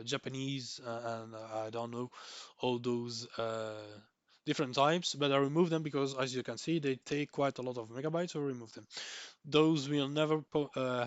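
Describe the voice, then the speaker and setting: Young Belgian male, Dutch first language, rambling tutorial voice-over, mid pitch, dry mic. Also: no room sound. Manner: rambling, repeats words for emphasis, frequent ums and restarts. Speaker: tech tutorial creator. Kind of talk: screen-recorded software tutorial.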